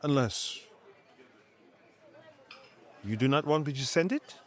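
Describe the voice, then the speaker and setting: Cunning condescending plotter, mid-pitched, unhurried, very animated intonation, manipulative, period audio drama. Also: male voice. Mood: dismissive, sly. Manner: smooth-talking, deliberate, manipulative. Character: sly, scheming, condescending man plotting a rival's downfall. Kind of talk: dramatic audio fiction acting.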